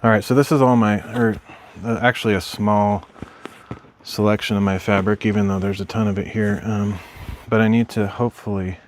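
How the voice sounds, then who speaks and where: A man streaming from his craft room at home, chatting casually while he works, rambling and correcting himself in a mild, low-key way. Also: fairly even intonation, normal speed, slight background noise.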